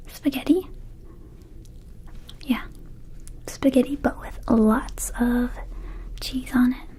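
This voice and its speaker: A woman voicing a small, friendly vampire shopkeeper in an ASMR roleplay, whispering gently and haltingly to the listener as a close friend. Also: on the high side, slight background noise. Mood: playful, savoring. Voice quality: soft, breathy whisper. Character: small friendly female vampire shopkeeper, quirky, needy, affectionate. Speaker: ASMR performer.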